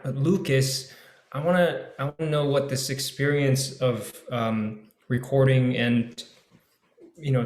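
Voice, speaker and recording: A man asking questions casually as a panel participant, curious and informal, rewording as he goes. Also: mid-range voice. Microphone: video call. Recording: roomy echo on a video call.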